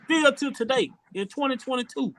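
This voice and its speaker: A man's voice: very high voice, normal speed, a bit bright. A man from rural Louisiana, hosting a casual opinion talk show and speaking earnestly, often repeating words as he builds a point. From rural Louisiana, USA. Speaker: podcast host.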